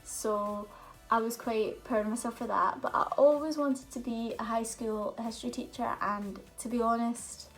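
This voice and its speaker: A woman's voice: on the high side, steady tone, normal speed, faint music behind, poor audio. A young woman, chatting openly and telling stories in a casual question-and-answer vlog. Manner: chatty, open storytelling with fillers. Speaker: vlogger.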